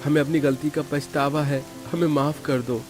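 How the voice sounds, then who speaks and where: A man's voice: a little high, flat delivery, normal speed, faint music behind, lightly acted. A man voicing an earnest village husband in a cartoon story. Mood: remorseful. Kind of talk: Hindi cartoon story dubbing.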